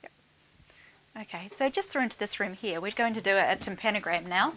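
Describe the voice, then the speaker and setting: A woman's voice: mid-range voice, lively intonation, brisk, bright, forceful tone, a little hiss or hum. A woman speaking as an audiologist, casually and reassuringly guiding a patient step by step. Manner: informal, reassuring, instructing step by step. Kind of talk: casual clinical talk with a patient.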